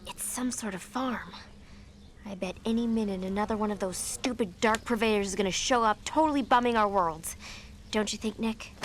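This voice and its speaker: A woman's voice: mid-range voice, normal speed, close to an everyday voice. A woman playing a perky, sassy, melodramatic teenage cheerleader zombie hunter in a video game, using valley-girl slang. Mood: sassy, chatty. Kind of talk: exaggerated video game acting. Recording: game audio with noisy effects.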